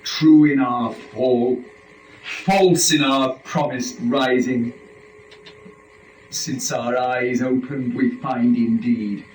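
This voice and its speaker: A man performs an impassioned first man in a dramatic reading of epic verse. He declaims at a very slow, deliberate pace with sweeping emphasis. The recording is roomy and distant, with the microphone well away from him. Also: on the high side, slight background noise. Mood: bitter.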